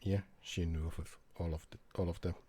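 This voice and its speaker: A man giving casual reaction commentary in a halting, trailing way. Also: sits low, flat delivery, warm tone. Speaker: reaction streamer.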